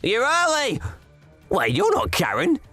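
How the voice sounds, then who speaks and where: A man voicing a brash, remorseless killer in a cartoon, exaggerated and excitable. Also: extremely high pitch, unhurried, faint music behind, exaggerated voice. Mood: eager. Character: brash, remorseless male killer, later begging victim.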